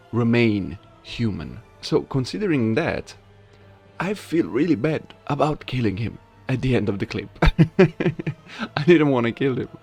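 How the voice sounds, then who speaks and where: A man with a lowish voice and noticeable fry talks casually to his viewers. He sounds like an informal video creator commenting on a game, with faint music underneath. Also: darkish tone. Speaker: video creator and amateur voice actor.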